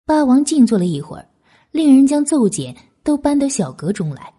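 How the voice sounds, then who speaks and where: A woman's voice: high-pitched, big pitch swings, moderate pace, warm tone. A woman narrating an audiobook novel in a scripted, dramatic storytelling style.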